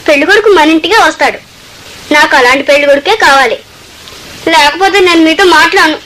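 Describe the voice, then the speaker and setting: A woman's voice: sits high, quick pace, sharp tone, poor audio. A woman playing an innocent, stubborn six-year-old girl in a radio play. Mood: stubborn demanding.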